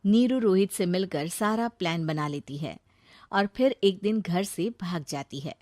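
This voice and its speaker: A woman narrating a moral cartoon story in a plain, brisk manner. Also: lowish voice, a little mellow, no echo, clean studio sound.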